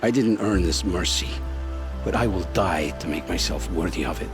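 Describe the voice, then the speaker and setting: An actor playing a remorseful male traitor in a video game, talking in a slow, haunted, pleading way. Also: low-pitched, music in the background, close to an everyday voice.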